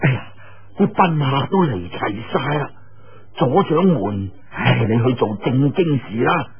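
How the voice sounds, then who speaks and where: A man's voice: medium pitch, lively intonation, a little slow, slightly rough. A man voicing a genial old Taoist priest in Cantonese, familiar and full of sighing exclamations. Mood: genial urging. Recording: muffled narrow-band radio-like sound.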